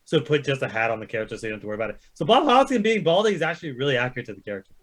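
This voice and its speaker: Male podcast debater, quick talker, swinging intonation. Sarcastic, contrarian, sweary, casual. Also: medium pitch, very animated, fairly bright tone. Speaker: podcast co-host. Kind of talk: casual argumentative banter.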